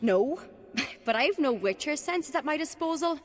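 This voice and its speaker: A woman playing a bold, sharp-witted young noblewoman in a video game, spirited, wry and expressive. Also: sits high, unhurried. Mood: wistful, teasing.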